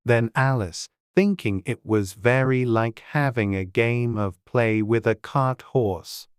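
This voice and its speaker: Audiobook narrator, slow pace, measured and gentle, middle pitch, dry close recording. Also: smooth, no echo. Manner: measured, unhurried, gently expressive. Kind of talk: scripted storybook narration.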